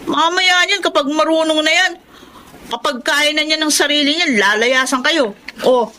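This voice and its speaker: An actress voicing a bratty young daughter who talks in a mocking, resentful, snappy way in a radio drama. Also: female voice, sits high, normal speed, bright, forceful tone. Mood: scornful. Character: bratty young adult daughter, sharp-tongued.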